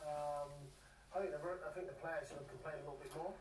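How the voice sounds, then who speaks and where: Hesitant pundit man, slightly high, noisy. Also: steady tone, fast. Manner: hesitant, filler-heavy. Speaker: football pundit. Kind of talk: broadcast commentary.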